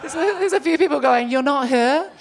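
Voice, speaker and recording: A woman's voice: high-pitched, expressive, fairly quick, creaky, crowd noise, noisy background. A woman in her thirties from New Zealand with a New Zealand accent, doing a stand-up comedy set with chatty, self-deprecating asides. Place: comedy stage before a live audience.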